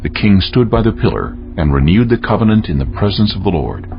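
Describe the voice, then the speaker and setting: A man narrating scripture from a script in a solemn, measured, deliberate way. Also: fairly low pitch, very animated, creaky, highs cut off, music in the background.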